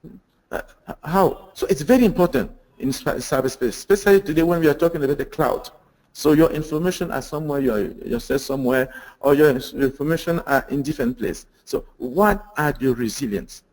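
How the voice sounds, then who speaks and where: Guy presenting a webinar, slightly high voice, lots of uh uh and restarts. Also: brisk, a little mellow, low-quality recording. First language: French. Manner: earnest, with repeated fillers, restarts and rhetorical questions. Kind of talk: webinar presentation on security standards.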